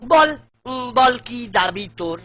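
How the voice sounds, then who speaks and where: A man's voice: very high-pitched, animated, takes it slowly, pushed and bright, heavily acted. A man voicing a clever, avuncular older village jester in a comic cartoon, coaxing and playful. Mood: considering, kindly. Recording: music behind the voice.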